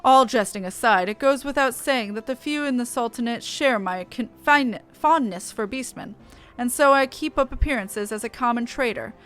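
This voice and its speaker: A woman plays a genteel merchant chairman of a fantasy game, flowery and idealistic. The voice is high for such a gentleman, courteous and grand, with music behind. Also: normal speed, natural voice. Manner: formal, grandiose. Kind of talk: reading game dialogue aloud.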